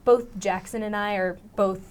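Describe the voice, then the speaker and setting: A woman's voice: mid-range voice, steady tone, normal speed, darkish tone. A young woman, a student actor, chatting in a studio interview in loose, informal speech full of fillers. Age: teens to young adult. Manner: informal, filler-heavy youthful talk. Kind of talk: casual interview chat.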